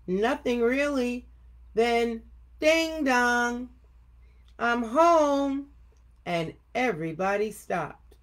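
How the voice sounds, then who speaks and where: An older woman reading a children's picture book aloud expressively, with deliberate, emphatic phrasing. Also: on the high side. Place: home office room indoors. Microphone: webcam at desk distance.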